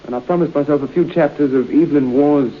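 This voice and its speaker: An actor playing an urbane, sceptical, self-assured gentleman in an old radio drama. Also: on the high side, fast talker, natural voice. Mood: breezy, confident. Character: breezy, sceptical gentleman making a wager. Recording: muffled old radio recording with hiss.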